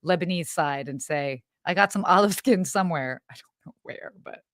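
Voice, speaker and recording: A woman's voice: on the low side, normal speed, a bit bright, no room sound, clean studio sound. A woman co-hosting a live travel show in casual chat. She is bubbly, laughs often, and trails off and restarts her sentences. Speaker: podcast co-host.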